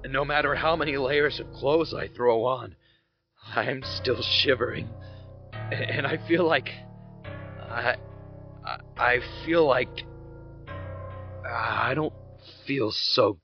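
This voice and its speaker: A man playing a wary, frightened soldier in an audio drama, speaking in a halting, brooding way. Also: medium pitch. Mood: weak, uneasy. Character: wary, frightened male soldier reasoning his way out. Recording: dull, lo-fi sound under loud music.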